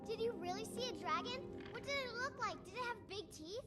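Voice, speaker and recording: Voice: extremely high pitch, animated, brisk. A performer playing a curious, eager young village child in a video game, asking questions keenly. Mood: excited, curious. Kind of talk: scripted video game dialogue. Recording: game music behind the voice.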